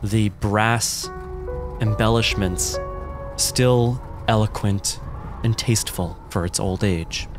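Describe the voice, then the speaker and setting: A man narrating a tabletop roleplaying game as game master, in a measured, descriptive and dramatic storytelling manner. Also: fairly low pitch. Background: music.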